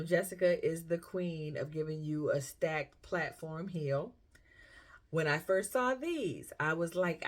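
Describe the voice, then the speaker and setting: A middle-aged woman from South Carolina speaks with a Southern US accent in a fairly low voice. She talks casually, like a fashion vlogger sharing a haul. Her storytelling is chatty, and she voices her own inner reactions along the way. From South Carolina, United States.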